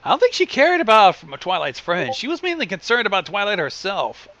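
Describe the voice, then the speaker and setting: A man's voice: high-pitched, huge pitch swings, brisk, a bit bright, no echo, highs cut off. A man chatting casually as co-commentator on a story reading, dropping offhand, wry asides. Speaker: co-commentator on fan fiction reading. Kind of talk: casual reaction chat.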